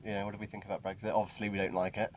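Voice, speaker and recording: A man's voice: on the low side, little pitch movement, rapid-fire. A young British man telling a travel story in casual chat with dry, teasing asides, sitting outdoors at a hilltop viewpoint. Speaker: travel vlogger.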